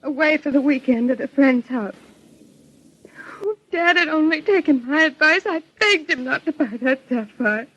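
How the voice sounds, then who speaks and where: A woman's voice: high-pitched, animated, moderate pace, a bit bright. An actress playing an earnest, grieving young woman in a 1940s radio mystery, with careful dramatic phrasing. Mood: grave, grieving. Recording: dull vintage 1940s radio recording.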